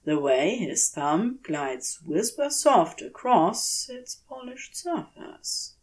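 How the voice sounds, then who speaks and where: A woman narrates fiction at an unhurried pace. Her intonation moves widely up and down, and her delivery is gentle and deliberate, with soft phrase endings. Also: mid-range voice, sing-song. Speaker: audiobook-style fan fiction reader. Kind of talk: expressive scripted story narration.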